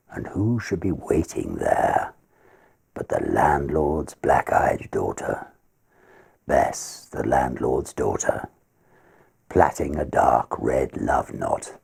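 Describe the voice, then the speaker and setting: Very deep gravelly male storyteller. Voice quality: gravelly, husky. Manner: theatrical, expressive. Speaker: ghost story reader. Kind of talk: dramatic literary reading, verse and prose.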